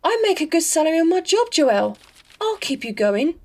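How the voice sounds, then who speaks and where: A woman's voice: very high-pitched, big pitch swings, normal speed, a little mellow, heavily acted. A woman playing a devoted, anxious girlfriend with tender pleading in a vintage melodrama style. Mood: caring, firm.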